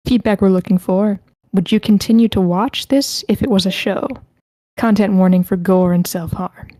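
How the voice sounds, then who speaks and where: A woman's voice: mid-range voice, steady tone, moderate pace, dark tone, dry recording. A woman hosting an online script table read in a casual, chatty livestream style. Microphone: close mic with a sock over it, online voice call.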